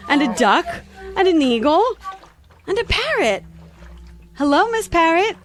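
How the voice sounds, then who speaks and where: Earnest, chatty little girl, voiced by a woman; high and sing-song. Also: very animated, unhurried, soft background music, heavily acted. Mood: chattery. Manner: chattery, earnest, easily flustered. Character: curious, polite little girl. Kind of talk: audio drama acting.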